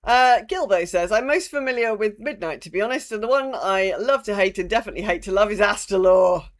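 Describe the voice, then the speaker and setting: A woman's voice: mid-range voice, lively intonation, a little fast. A woman co-hosting a podcast, chatting casually and reading out listener messages. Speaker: podcast co-host. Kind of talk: casual chat.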